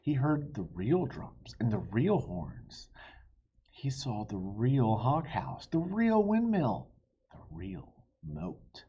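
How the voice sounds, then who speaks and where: A man narrates a children's storybook in an unhurried, expressive read-aloud. His voice is very husky and mellow. The recording sounds slightly muffled. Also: mid-range voice. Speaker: storybook reader.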